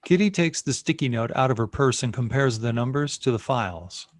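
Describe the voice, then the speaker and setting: A man's voice: mid-range voice, expressive, a little fast. A man reading out scene headings and stage directions as narrator of a screenplay.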